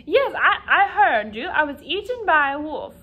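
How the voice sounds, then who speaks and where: A woman's voice: high-pitched, sing-song, moderate pace, lo-fi sound, pitched above the performer's own voice. A woman voicing a chatty, self-important duck puppet who tells stories dramatically for a children's lesson. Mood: dramatic, aggrieved.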